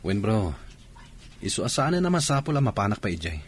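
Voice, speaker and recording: A man's voice: lowish voice, sing-song, a little fast, slightly rough. A man with a Filipino Ilocano accent acting as a brotherly soldier in a radio drama. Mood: casual. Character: soldier with a secret lover, brotherly.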